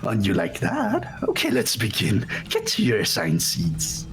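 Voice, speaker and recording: A man's voice: on the low side, huge pitch swings, a little slow, background noise. A man voicing a cutesy, sadistic mascot bear in a video game, theatrical and taunting. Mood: gleeful taunting.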